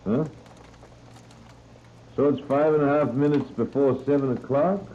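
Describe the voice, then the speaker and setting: An American man working as a morning radio announcer in an informal, folksy 1930s live style, chatty and unhurried, on an old muffled radio recording. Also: medium pitch, steady tone, slight background noise. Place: radio studio. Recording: muffled, band-limited 1930s radio disc.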